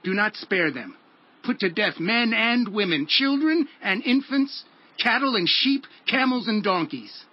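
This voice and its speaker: An actor playing a stern prophet in a dramatized audio Bible, proclaiming a divine command with solemn force. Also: male voice, sits high, lively intonation, unhurried, lo-fi sound. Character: stern prophet delivering God's harsh command.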